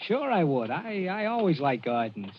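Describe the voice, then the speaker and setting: Higher-voiced edgy American small-time gangster. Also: male voice, sing-song, normal speed. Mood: hesitant, ingratiating. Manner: slangy, edgy. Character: desperate, touchy, broke male small-time gangster. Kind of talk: 1940s radio acting. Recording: dull, noisy old radio recording.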